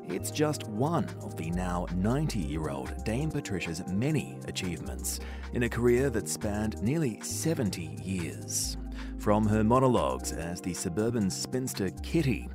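A male presenter reading a polished scripted introduction and then asking interview questions. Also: lowish voice.

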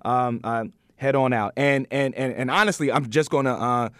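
A man hosting a podcast interview in a casual, informal way, feeling out his questions in halting phrases. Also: mid-range voice, a bit bright, dry recording, clean studio sound.

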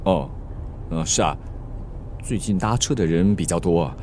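A man acting in a radio drama as a shy, awkward young suitor who teases gently. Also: sits low, lively intonation, normal speed, background noise.

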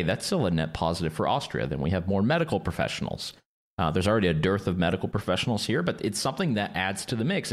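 Deep-voiced man musing aloud. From Quebec, Canada. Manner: thinks aloud, wandering asides with light chuckles. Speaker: podcast co-host. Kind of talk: casual discussion.

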